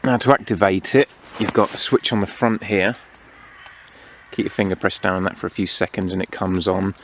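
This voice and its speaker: A man giving a casual, unhurried hands-on product review, explaining each feature as he goes. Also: low-pitched, steady tone, a bit bright. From United Kingdom. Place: outdoors among greenery. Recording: clear outdoor background noise.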